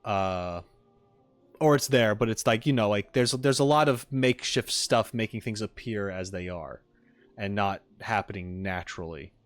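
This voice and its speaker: Low-voiced man musing casually over music. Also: normal speed, no room sound. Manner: musing, thinking aloud. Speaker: streamer reacting to game trailers and voice lines. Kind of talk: casual off-the-cuff commentary.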